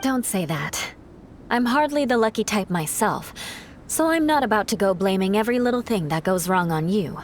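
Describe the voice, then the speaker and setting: A woman's voice: medium pitch, animated, slight background noise, close to an everyday voice. Woman playing composed, resolute female knight. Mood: calm reassurance. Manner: measured, decisive. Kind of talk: video game cutscene acting. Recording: music under the voice.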